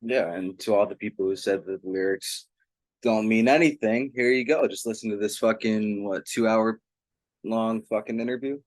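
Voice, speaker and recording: A man's voice: on the low side, normal speed, dry recording, low-quality recording. A man hosting a casual interview, speaking in an informal, blunt way with some swearing.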